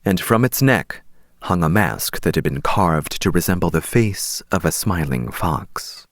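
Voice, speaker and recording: A man narrating an audiobook as scripted dramatic storytelling, measured and expressive. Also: deep voice, no room sound, studio quality.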